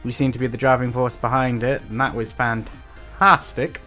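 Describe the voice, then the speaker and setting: A man's voice: mid-range voice, little pitch movement, normal speed, bright, forceful tone, soft background music. A man hosting a reaction video with casual commentary.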